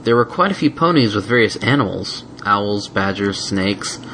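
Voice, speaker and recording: A man's voice: on the low side, lively intonation, normal speed, pushed and bright, slight background noise, poor audio. A man reading a fan fiction story aloud as scripted narration.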